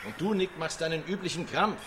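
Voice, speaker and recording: A man's voice: a little high, fairly quick, background noise, lightly acted. A man playing a curt, impatient director giving off-air instructions in a radio drama. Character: bossy male director coaching interviewees, curt and impatient.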